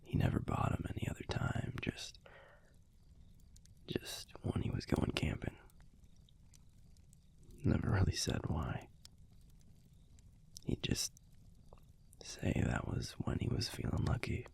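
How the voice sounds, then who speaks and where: A man playing a gruff but tender young werewolf boyfriend in an intimate audio roleplay, with an American accent and a soft, husky, laid-back murmur. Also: lively intonation, in a whisper, full character voice. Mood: wry, fond reminiscing. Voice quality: breathy, husky, gravelly low voice. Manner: laid-back, murmured, halting, casual swearing. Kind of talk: intimate audio roleplay, speaking softly to the listener.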